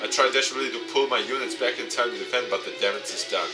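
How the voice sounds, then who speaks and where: A man reading a visual novel aloud as a let's player, with expressive dramatic narration. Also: medium pitch, rapid-fire, over music. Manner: expressive, acts out dialogue.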